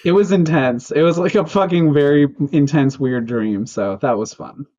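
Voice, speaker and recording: A man from the United States, a guest filmmaker, telling an animated, frank story in casual conversation. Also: fairly high pitch, normal speed, highs cut off.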